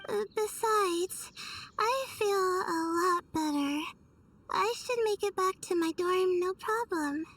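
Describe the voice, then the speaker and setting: A woman's voice: extremely high pitch, slow, a bit bright, far from the mic, a little hiss or hum, exaggerated voice, low-quality recording. A woman playing a timid, anxious, weary young female student in a video game, hesitant and apologetic. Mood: nervous, reassuring.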